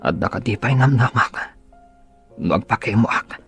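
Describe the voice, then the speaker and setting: A man's voice: on the low side, expressive, a little slow, gravelly, fairly bright tone, slightly rough, exaggerated voice. Bitter, sickly grumbling man, music underneath. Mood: grudging. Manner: grumbling, despairing. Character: bitter, sickly, short-tempered man with leukemia, rich boss. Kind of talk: radio drama acting.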